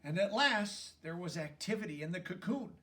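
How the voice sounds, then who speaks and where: A man reading a children's story aloud as an expressive narrator. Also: sits high, normal speed, recorded from a distance. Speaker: storybook reader.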